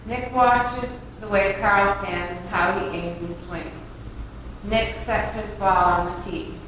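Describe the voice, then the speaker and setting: A woman's voice: mid-range voice, slow, a bit bright. Woman narrating a script, very echoey. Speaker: stage direction reader. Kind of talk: scripted narration at a table read. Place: reverberant room, far from mic. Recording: echoey room, distant mic, faint noise.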